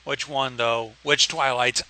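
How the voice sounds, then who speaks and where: A man's voice: medium pitch, steady tone, takes it slowly, pushed and bright, low-quality recording. A man co-hosting a livestream, bantering casually in a blunt, sweary way. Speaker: livestream co-host commenting on a story.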